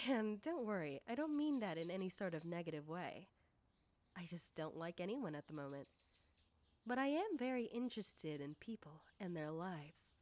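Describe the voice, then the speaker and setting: Slow, coy popular schoolgirl, acted by a woman. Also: fairly low pitch, a little mellow. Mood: coy, interested. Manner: coy, probing, deliberate, often dropping into whispers. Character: nosy, teasing, self-assured popular high school girl. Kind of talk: ASMR roleplay, soft personal-attention talk.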